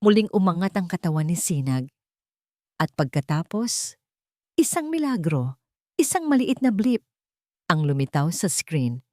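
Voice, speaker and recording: A woman's voice: on the low side, big pitch swings, unhurried, pauses often, a little mellow, dry recording, clean studio sound. A woman narrating an audio drama in a scripted, emotional and emphatic storytelling style.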